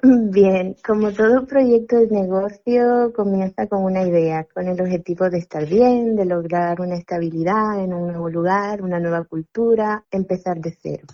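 A woman in her thirties with a Salvadoran accent, answering questions over the phone in a measured, slightly hesitant way. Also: mid-range voice. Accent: Salvadoran Spanish. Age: 30s. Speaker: interviewee. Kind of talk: phone interview. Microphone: phone line. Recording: muffled phone-line recording.

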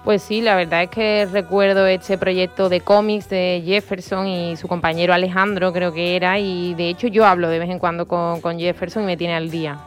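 A woman's voice: on the low side, level tone, normal speed, few pauses, faint music behind. A woman hosting a radio program, chatting conversationally and often tagging her lines with questions. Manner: warm conversational, frequent "pues" and "¿no?". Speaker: radio host. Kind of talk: radio magazine chat.

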